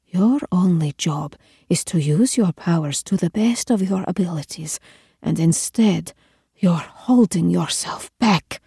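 A woman's voice: fairly low pitch, creaky, warm tone, slightly rough, no room sound, studio quality, exaggerated voice. A woman voicing a stern, imperious queen in an audiobook story, cold, clipped and commanding. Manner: clipped, authoritative, scolding.

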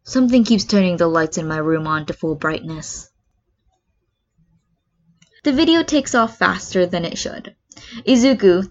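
A woman's voice: on the low side, a bit bright. A woman narrating a scripted piece of fan fiction as a reader. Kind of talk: scripted story narration with acted dialogue. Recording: dull lo-fi recording.

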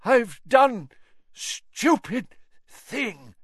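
A man's voice: fairly high pitch, expressive, moderate pace, a bit bright, no room sound, clean studio sound, pitched above the performer's own voice. A man voicing a deranged, exhausted older official who gasps out broken, rambling words. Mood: gasping, desperate. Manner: broken, gasping, rambling speech.

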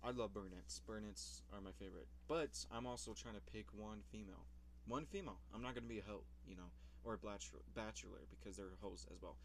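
A man's voice: fairly low pitch, fast, slight background noise. A young man in casual vlog commentary, rambling about his old videos, swearing casually and laughing at himself.

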